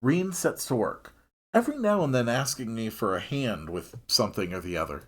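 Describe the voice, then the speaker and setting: A man's voice: medium pitch, lively intonation, normal speed, slightly rough. A man reading a story aloud expressively, dropping in wry, casual asides of his own. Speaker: host reading a visual novel aloud.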